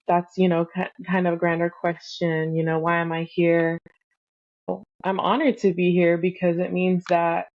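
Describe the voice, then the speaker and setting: A young woman from California tells her story in a low, fairly even voice. She talks earnestly and pauses often with soft ums. The audio is dull and lo-fi. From Fresno, California. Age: young adult. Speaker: podcast guest. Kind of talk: reflective conversational storytelling.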